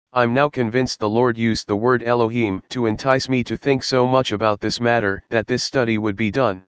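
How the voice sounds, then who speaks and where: A man reading a scripted Bible study in a measured, earnest, explanatory way. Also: medium pitch, clean tone, dry recording. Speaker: Bible teacher.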